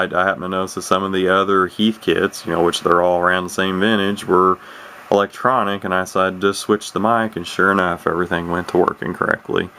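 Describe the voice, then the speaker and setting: Male hobbyist presenter, low and creaky, informal and halting, rambling unscripted delivery. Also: pushed and bright, slight background noise. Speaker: radio hobbyist presenting a set. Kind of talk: casual unscripted demonstration talk.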